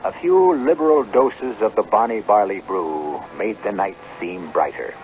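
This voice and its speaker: A man's voice: lowish voice, a little slow, a little mellow, slight background noise. A man hosting and narrating a scripted radio drama with literary, theatrical storytelling. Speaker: host and narrator. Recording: muffled old radio broadcast. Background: music.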